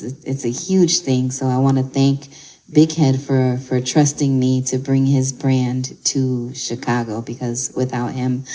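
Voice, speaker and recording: A woman's voice: extremely low pitch, warm tone, rough recording. A woman who promotes a dancehall queen competition, telling stories with enthusiasm in a casual interview. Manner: enthusiastic storyteller.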